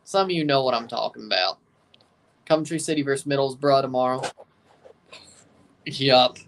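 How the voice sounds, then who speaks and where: A woman's voice: very deep voice, normal speed. A woman hosting a livestream watchalong, giving casual live football commentary and chatting with viewers, often repeating and correcting herself.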